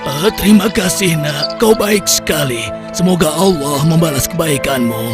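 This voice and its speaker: A man voices a frail, kindly old grandfather in a cartoon. He speaks gently and wearily. Soft music plays behind him. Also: a little high, moderate pace, natural voice. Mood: gentle.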